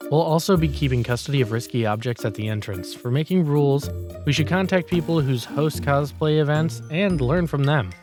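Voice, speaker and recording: A man's voice: fairly high pitch, a little fast, music in the background, natural voice. A man voicing a lazy, blunt senior office worker in a manga story, grumbling and critical in a matter-of-fact way. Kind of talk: manga dialogue voice acting.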